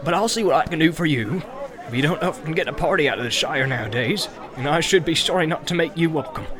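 A man's voice: on the high side, lively intonation, fast talker, crowd noise, lightly acted. A man voicing a bustling, talkative, forgetful stout male innkeeper who chatters on in a flustered rush. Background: music.

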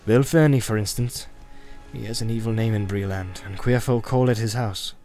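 A man voicing a grim, wary, secretive wandering ranger with a deliberate, cautioning and wry manner in an audiobook. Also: lowish voice, steady tone, mellow, faint music behind, lightly acted. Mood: warning, grave.

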